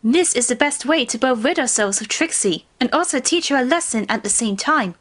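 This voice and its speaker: A stern royal pony sister played by a woman in a cartoon fan drama, with a high, lively voice. She declares things regally, with bite and impatience. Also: fairly quick. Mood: resolute. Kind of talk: fan fiction audio drama acting.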